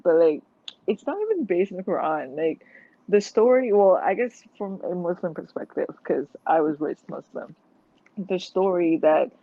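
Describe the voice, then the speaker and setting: A woman's voice: lowish voice, no room sound. Young woman on a tinny phone line. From Malaysia. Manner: hesitant, full of "like" and restarts, earnest. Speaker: guest. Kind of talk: casual conversational interview.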